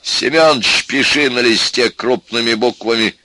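A man voicing a gruff, hot-tempered plumber in a story, blunt, loud and indignant in a colloquial way. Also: mid-range voice, a little slow, no room sound, voice pushed up. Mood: bossy.

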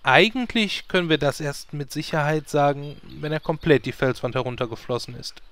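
An actor playing a dry, pedantic, wisecracking adventurer in a comedy fantasy audio drama. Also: male voice, fairly high pitch, brisk, fairly bright tone, lightly acted. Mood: dry, mocking.

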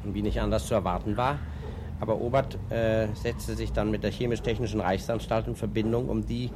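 Male expert, low voice, spontaneous explanation, hesitant delivery, rumbling background noise. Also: steady tone, brisk. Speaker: interviewed expert on rocket history.

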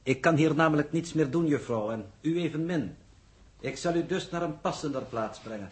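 A man's voice: a little high, normal speed, close to an everyday voice. A man playing a stiff, pompous, coolly correct subordinate with stilted, precise diction, in 1960s radio drama style. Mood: coolly formal.

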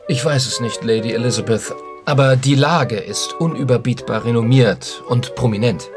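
A man's voice: medium pitch, moderate pace, over music, natural voice. A man in a radio play acting a learned, deferential private secretary who speaks in a formal, precise way. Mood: dutiful.

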